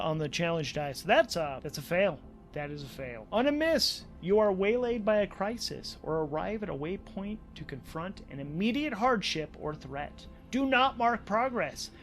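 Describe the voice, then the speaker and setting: A man hosting a solo tabletop role-playing game, narrating and thinking aloud in an animated, conversational way. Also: sits high. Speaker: host and solo game master. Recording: background music under the voice. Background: music.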